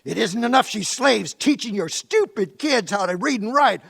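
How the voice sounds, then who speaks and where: A man acts out an irritable small-town fellow in a radio play. The voice is high and crackles with fry, swinging widely as it blusters. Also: animated, moderate pace, fairly bright tone, heavily acted. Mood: angry. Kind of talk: live radio play acting.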